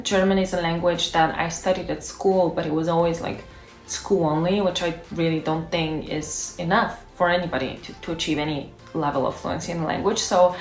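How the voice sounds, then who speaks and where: A young woman who is a native Bulgarian speaker, talking casually to camera as a language-learning YouTuber and thinking aloud with fillers and restarts. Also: lowish voice. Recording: echoey room.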